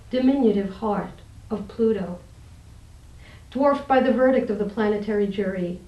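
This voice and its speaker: Gravelly, mellow woman in an echoey room. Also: mid-range voice, dull audio. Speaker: interview guest, poet and teacher. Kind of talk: interview talk and poetry reading. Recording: roomy echo, distant microphone.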